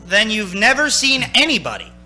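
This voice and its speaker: A man's voice: high voice, big pitch swings, moderate pace, pushed and bright, a little hiss or hum, heavily acted. An actor playing a haunted, anxious young man in a radio play, dramatic and nervously stammering. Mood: uneasy. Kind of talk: radio play acting, narrating and in scenes. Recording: stage radio play with music.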